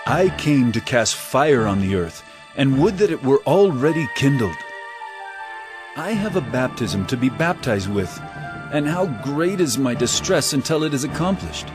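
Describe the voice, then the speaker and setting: Solemn commanding holy teacher in a bible audio drama, really swoopy expressive voice, music under it. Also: male voice, medium pitch, a little slow. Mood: stern, urgent. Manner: solemn, emphatic preaching delivery.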